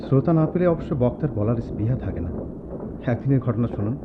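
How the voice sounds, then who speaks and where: An actor playing an aloof, self-possessed young male student in a Bengali radio drama, measured and dry. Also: mid-range voice, expressive, natural voice. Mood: reflective, bitter. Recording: tinny phone-like audio, clear vehicle noise.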